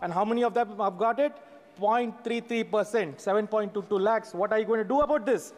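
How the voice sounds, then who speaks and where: A man's voice: sits high, brisk. A man in his forties giving a sarcastic budget speech in a large parliament chamber, reading from notes into a desk microphone. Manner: sarcastic, reads from notes with hand gestures. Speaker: member of parliament. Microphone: fixed desk microphone. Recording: long chamber reverb.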